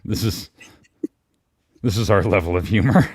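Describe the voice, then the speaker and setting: A man's voice: lowish voice, moderate pace, breathy edge. A man chatting casually as a guest on a live stream, telling anecdotes in an informal, salty way.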